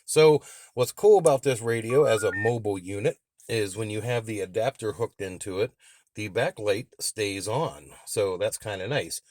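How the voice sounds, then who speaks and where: A man's voice: lowish voice, normal speed, a little mellow, dry recording. A man reviewing and testing a radio in a plain-spoken, explanatory way, as a hands-on demonstration.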